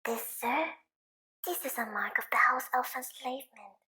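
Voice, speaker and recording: A woman's voice: sits high, normal speed, bright, forceful tone. A woman playing a small, anxious male house elf in an audio drama, deferential and speaking of himself in the third person. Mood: aggrieved, pleading. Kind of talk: fan audio drama acting.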